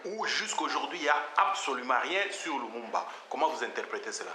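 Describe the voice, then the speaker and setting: A man with a Congolese accent asking interview questions at a public event in deliberate, halting phrases. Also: mid-range voice, sing-song, crowd noise. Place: outdoor public square. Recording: echoey, noisy outdoor event recording.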